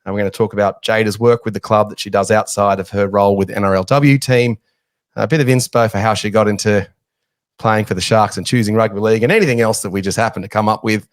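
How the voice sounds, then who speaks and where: A man's voice: fairly low pitch, steady tone, fast talker, dry recording, clean studio sound. A man hosting a casual sports podcast, chatty and joking at his own expense. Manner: chatty, jokey, self-deprecating. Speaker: podcast host.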